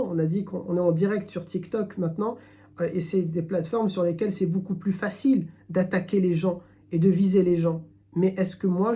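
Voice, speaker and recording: A man's voice: high voice, mellow. A man giving an earnest religious lesson in French, emphatic and repeating himself as he searches for words. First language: French speaker, not Arabic. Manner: earnest, emphatic, many restarts. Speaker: Islamic teacher. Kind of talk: live-streamed religious lesson.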